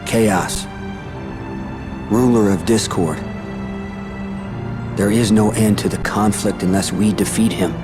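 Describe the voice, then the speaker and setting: Slow, grim fantasy warrior. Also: male voice, lowish voice, fairly even intonation, over music. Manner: grave, deliberate. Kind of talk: fantasy video game voice acting.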